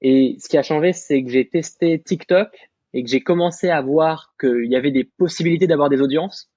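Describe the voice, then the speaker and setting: Self-assured young French man, husky. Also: on the high side, brisk, mellow, no echo. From France. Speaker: guest content creator. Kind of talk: casual podcast discussion. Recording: slightly muffled dry recording.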